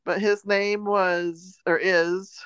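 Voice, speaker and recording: A woman's voice: fairly low pitch, flat delivery, slow, fairly bright tone, clear, resonant tone, no room sound. American woman chatting in a dry, close room. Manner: loose, meandering storytelling. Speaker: podcast co-host. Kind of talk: casual, informal news retelling.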